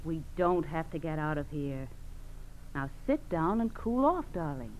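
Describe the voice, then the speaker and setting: An actress playing a domineering, scheming American criminal wife in 1940s radio crime drama, bossy, coaxing and belittling. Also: female voice, low voice, big pitch swings, a little slow, darkish tone. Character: domineering, scheming criminal wife goading her frightened husband. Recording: dull old radio recording with hiss.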